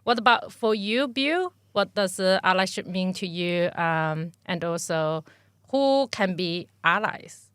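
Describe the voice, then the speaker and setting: A woman hosting a podcast, reading a formal introduction and asking guests measured questions. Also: fairly low pitch, lively intonation, a bit bright, clean tone, no echo. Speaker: podcast host. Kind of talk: podcast interview, scripted intro.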